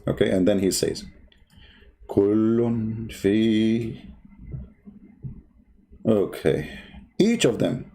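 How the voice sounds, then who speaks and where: A man hosts a scornful commentary. He talks in a mocking, emphatic way, and now and then he hums to himself. His voice is warm and mellow. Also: lowish voice, slow, long pauses. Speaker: debate host. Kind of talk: polemical commentary over a video.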